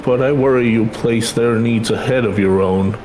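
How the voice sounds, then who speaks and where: A man's voice: mid-range voice, steady tone, moderate pace, darkish tone, slight background noise, lightly acted, rough recording. A man voicing a caring, worried and insistent mother in video game dialogue. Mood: worried, pleading.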